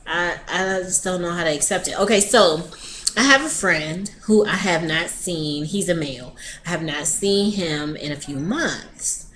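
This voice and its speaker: A woman's voice: lowish voice, a bit bright, slight background noise. A woman in her thirties sharing a candid personal video diary, hesitant and often restarting her sentences. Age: 30s. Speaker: vlogger.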